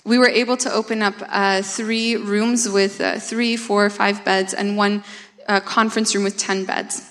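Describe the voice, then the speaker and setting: A woman's voice: mid-range voice, little pitch movement, unhurried, fairly bright tone. A woman from Canada, who grew up speaking Russian at home, giving an earnest, plainspoken mission update to a church. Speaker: campus ministry worker. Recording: long hall reverb.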